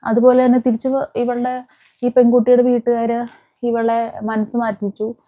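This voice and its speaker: Female crime-channel host, fairly high voice, chatty explanatory storytelling with some English mixed in, slightly muffled lo-fi recording. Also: monotone, normal speed. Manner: conversational, explanatory, mixing in English words. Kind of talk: informal true-crime storytelling to camera or mic. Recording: dull, muffled lo-fi sound.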